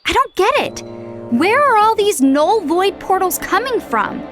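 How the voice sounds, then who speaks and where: A woman voicing a bossy, brainy young girl with a sarcastic, scolding manner in an animated game cutscene. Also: very high-pitched, a little slow, soft background music, heavily acted. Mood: puzzled, alarmed.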